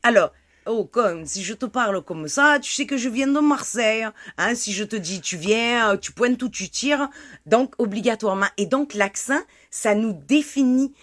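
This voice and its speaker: A woman's voice: on the high side, normal speed. A woman from southern France, with a mixed southern French and Québécois accent, arguing her point animatedly in a casual game panel. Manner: animated, talks over others. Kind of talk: casual chat.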